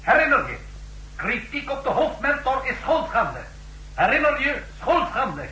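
Harsh male indoctrinator, high swooping pitch, chanting accusations, echoey old radio drama. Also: big pitch swings, a little slow, pushed and bright, full character voice. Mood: harsh, commanding. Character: harsh indoctrinating voice on a conditioning tape. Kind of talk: 1960s radio drama acting. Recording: echoey, faintly humming old radio recording.

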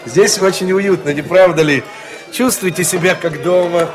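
An actor playing an ingratiating expatriate gentleman hosting guests in a radio play, courtly and repetitive in manner. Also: high voice, normal speed, music in the background, natural voice. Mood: effusive welcome. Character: effusive, ingratiating expatriate gentleman, club host.

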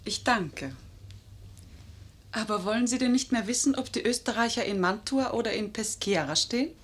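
A woman's voice: a little high, moderate pace, slightly rough. An actress playing a bold, witty, scheming lady who is teasing and proud, in a 1950s German radio play. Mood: sly, mocking.

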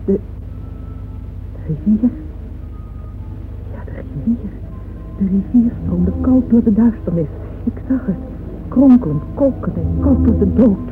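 An actress playing an anguished, devoted middle-aged noblewoman in a radio play, speaking in intense, halting monologue. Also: medium pitch, animated, narrow, tinny audio, noisy background, lightly acted. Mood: hushed, haunted. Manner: intense, breathy, halting, swelling from hushed to agitated. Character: anguished older Russian noblewoman, devoted, haunted by dreams. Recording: band-limited old radio recording with music. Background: music.

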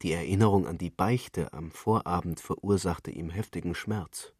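A man's voice: low voice, normal speed, a little mellow, slightly rough, dry recording, studio quality. A man reading literary prose as a scripted narrator.

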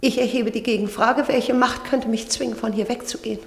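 Snooty stubborn lady prisoner, radio play, high dramatic voice, super echoey. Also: fairly even intonation, fairly quick, vocal fry. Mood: haughty defiance. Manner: dramatic, imperious, sharp-tongued. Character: haughty, stubborn lady captive who refuses rescue. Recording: echoey, reverberant cellar-like sound.

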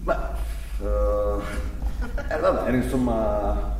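An actor playing an agitated, bewildered young man in a staged play, speaking haltingly and repeating himself. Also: fairly low pitch. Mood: nervous, reminiscing. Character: agitated, bewildered 25-year-old son of a German exile. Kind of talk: live staged reading of a play, monologue and dialogue. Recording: echoey hall, distant mic, faint noise.

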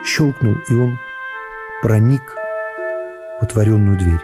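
A middle-aged man narrating a classic novel for radio in a measured, dramatic storytelling manner. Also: fairly low pitch. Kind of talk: literary narration in a radio play. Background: music.

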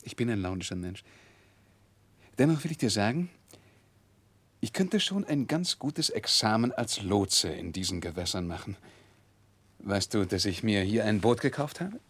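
An actor playing a moody, proud, impatient young gentleman in a 1960s German radio drama, with a husky, gravelly voice. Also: lowish voice, lively intonation, moderate pace, long pauses. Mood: restless, moody.

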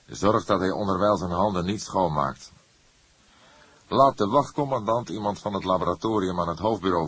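A man voicing a grizzled veteran police detective in an audiobook, authoritative, deliberate and unyielding. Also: low voice, fairly bright tone, slightly rough, no room sound.

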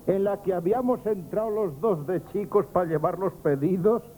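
A man's voice: sits high, normal speed, dark tone, soft background music, natural voice. Man acting a pompous, jovial Spanish shop crony. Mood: nostalgic, merry. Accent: Spain. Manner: pompous, flowery, grandiloquent wordplay, chuckling. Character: jovial old shop friend Liborio, pompous flowery talker. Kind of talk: comic radio theatre acting. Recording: noisy 1970s radio drama tape.

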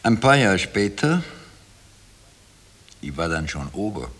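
An actor in a 1960 radio drama playing a bitter Viennese waiter who talks in a colloquial, confiding way. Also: male voice, lowish voice, very animated, slow pace, fairly bright tone, heavily acted. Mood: weary, confiding. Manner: colloquial confiding narration, obliging when serving. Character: bitter Viennese waiter recalling his life to a woman.

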